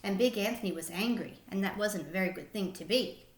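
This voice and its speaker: A woman's voice: medium pitch, a little fast, reverberant, rough recording. A woman narrating a children's picture book expressively, like a teacher reading aloud.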